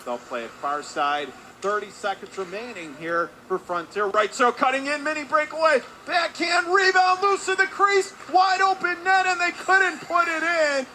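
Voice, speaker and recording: A man doing live radio play-by-play of ice hockey in clipped phrases with excitable calls. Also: very high-pitched, normal speed, few pauses. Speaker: play-by-play announcer. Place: indoor ice hockey arena. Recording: loud ambient rink noise.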